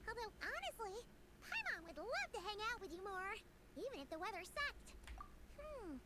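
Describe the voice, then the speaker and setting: A woman voicing a tiny, cheerful, talkative fairy companion with a squeaky, childlike, excitable voice in a video game. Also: moderate pace. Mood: chipper. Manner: excitable, refers to self in third person. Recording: noisy game stream, clicks.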